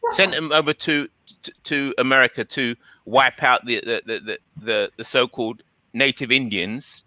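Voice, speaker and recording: A man in a casual conversation explaining his views at length, haltingly and often repeating words. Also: medium pitch, fairly even intonation, bright, forceful tone, dry recording.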